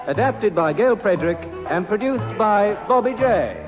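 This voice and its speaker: A man's voice: high-pitched, expressive, normal speed. A man reading scripted closing credits as a radio announcer, on a dull old recording with music.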